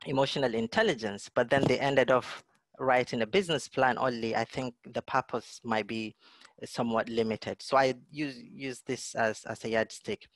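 A middle-aged man delivers a lecture over a video call from indoors. His voice sits at an ordinary middle pitch and sounds dry and close, with no room echo. He talks in a thoughtful, searching way, often saying "you know" and repeating words. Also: moderate pace. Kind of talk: online lecture with slides. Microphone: video call webcam.

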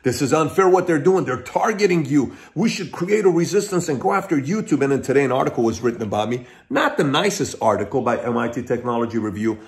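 A man in his forties, originally from Iran, talks straight into a close phone camera from a small room at home. He speaks emphatically, stacking his points one after another and repeating them for weight, with a mid-pitched voice. The delivery is animated, as his hands keep moving while he talks. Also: fast, hardly any pauses. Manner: animated, gestures constantly with hands. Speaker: channel host. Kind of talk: direct-to-camera commentary. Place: small room at home, wooden blinds behind. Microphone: phone or webcam close up.

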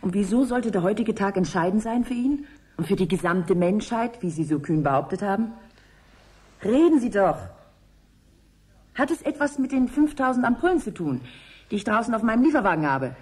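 An actress playing a sharp-witted, sceptical young student in a radio play, sardonic and demanding. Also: female voice, medium pitch, very animated, moderate pace, natural voice. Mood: probing.

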